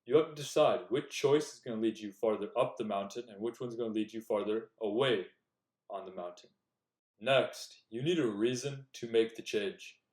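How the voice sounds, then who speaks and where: A man's voice: on the low side, normal speed, a little mellow. A young man giving earnest, direct self-improvement advice in a casual talk to the camera. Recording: echoey room.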